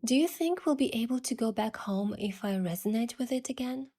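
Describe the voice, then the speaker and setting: A woman voicing a curious young woman posing as a princess, narrating her own story softly in a measured, wistful way. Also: a little high, animated. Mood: curious, eager. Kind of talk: scripted first-person story narration with acted dialogue.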